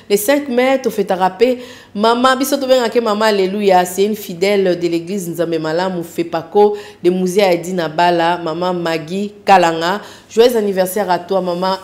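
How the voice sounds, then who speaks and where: A woman's voice: on the low side, a little mellow. A woman hosting a TV show, talking in a preacherly way and mixing in Lingala. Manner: switches between French and Lingala, preacherly. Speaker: TV show host. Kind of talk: talk-show presenting with dedications.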